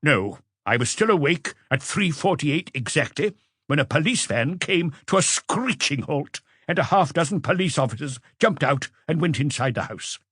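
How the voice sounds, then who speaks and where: A man's voice: a little high, expressive, normal speed, a bit bright, breathy edge, no echo, studio quality, voice pushed up. A man voicing an observant, self-assured witness in an audiobook, answering in a precise, matter-of-fact way. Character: observant male witness, precise and sure of himself.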